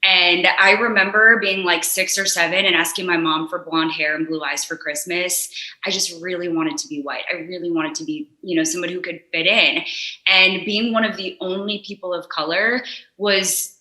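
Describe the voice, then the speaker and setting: Young woman guest, earnest and reflective, bright sharp tone, roomy echo. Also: on the low side, fairly even intonation, normal speed. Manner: earnest, reflective, frequent fillers. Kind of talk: candid conversational discussion.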